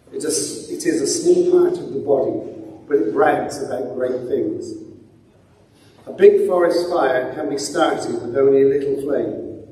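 An older man reading a Bible passage aloud at a lectern in a large church, measured and deliberate. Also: on the high side, warm tone, slight background noise. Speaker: scripture reader. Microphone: stand mic, filmed by a far camera. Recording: echoey church from far off.

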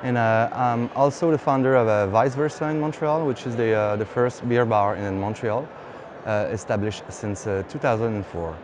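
A man in his thirties with a Quebec French accent, speaking English as a second language in halting sentences full of fillers, interviewed as a brewery founder. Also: medium pitch. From Quebec, Canada. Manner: halting, many fillers and restarts. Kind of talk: on-camera interview answer. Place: indoor beer festival hall. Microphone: lapel mic. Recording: hall noise behind.

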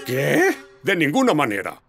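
A man's voice: fairly high pitch, wildly swooping pitch, measured pace, vocal fry, very noisy, exaggerated voice. A man voicing an indignant, firm townsman in a children's cartoon dub. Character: indignant townsman guarding magical pets in a cartoon.

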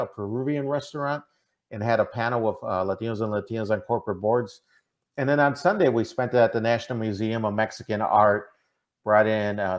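A middle-aged man from the American Midwest giving earnest, conversational interview answers, often checking in with "right?". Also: mid-range voice. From Minnesota, United States. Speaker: interview guest, diversity consultant. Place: indoor room, on a video call. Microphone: video call microphone.

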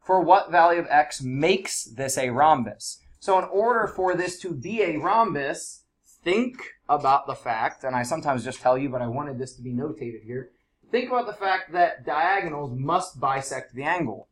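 A man's voice: fairly high pitch, moderate pace. Congested man teaching a math class. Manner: casual, chatty explaining with fillers. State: congested from allergies. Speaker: high school math teacher. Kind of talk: classroom lesson.

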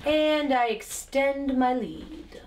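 A dry, self-assured young geek played by a woman in comic dialogue. She delivers lines deadpan in a fairly high voice. The room adds clear echo. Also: very slow, a little hiss or hum. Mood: dry, confident. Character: dry, confident female geek who trumps the men. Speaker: actor in a web comedy sketch. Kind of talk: scripted comedic dialogue. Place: small furnished room indoors. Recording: echoey room sound.

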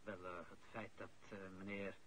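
An actor in a radio play as an earnest, deferential office employee, husky, careful and formally polite. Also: male voice, lowish voice, little pitch movement, moderate pace, a bit bright. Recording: dull, band-limited old radio drama recording.

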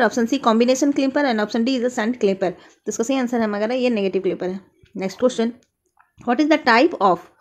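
A woman teacher reads exam questions and answer options aloud. She reads the questions slowly, then explains them quickly in Hindi. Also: on the high side, a little mellow.

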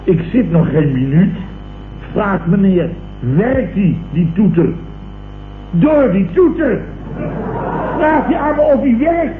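An actor playing a self-important, indignant building contractor in a Dutch radio comedy, grumbling and ranting with sarcasm in a colloquial Dutch accent. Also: male voice, sits high, sing-song, slow, long pauses, full character voice. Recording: tinny, hissy old radio recording, roomy.

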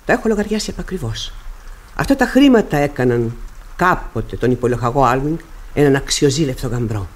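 An actress playing a stern, embittered widowed mother in radio theatre, speaking gravely and deliberately. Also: very deep voice, animated. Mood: bitter, resolute. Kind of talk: classic Greek radio theatre acting.

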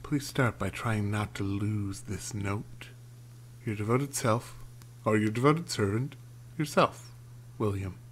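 A man's voice: fairly low pitch, slow, a little hiss or hum. A man streaming a horror game, chatting and reading in-game notes aloud, sometimes stumbling over names. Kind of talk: let's play commentary and reading notes aloud.

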